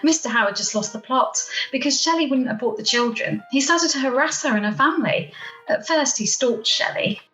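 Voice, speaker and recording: Gossipy townswoman played by an amateur actress, lively and high-pitched, storytelling with relish, roomy home recording for radio drama. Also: a little fast, faint music behind. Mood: gossipy, animated. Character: gossipy small-town woman relishing a scandal. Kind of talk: amateur radio play acting. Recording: roomy, echoey home video-call recording.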